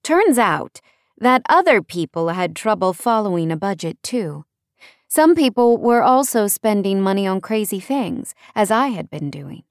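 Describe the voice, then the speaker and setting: A woman's voice: medium pitch, big pitch swings, measured pace, dry recording, studio quality. A woman narrating a first-person nonfiction audiobook in a conversational way, leaning on key words for emphasis.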